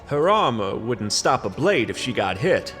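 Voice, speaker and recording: Goblin private detective male actor, semi-retired, hardboiled radio noir, brooding and wry, very lively intonation. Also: medium pitch, measured pace, faint music behind. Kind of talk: hardboiled radio play narration and dialogue.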